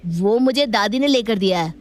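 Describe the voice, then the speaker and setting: A woman voicing a sly, wheedling schoolboy in an animated moral story. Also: mid-range voice, moderate pace, lightly acted. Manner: pleading, sly. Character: cheeky, wheedling schoolboy outwitting his elders.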